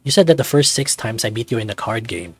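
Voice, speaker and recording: A man's voice: medium pitch, expressive, fast talker, fairly bright tone, dry recording, soft background music. A man playing a young adult adopted son, a gamer who needles with sarcastic banter in a visual novel. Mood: needling.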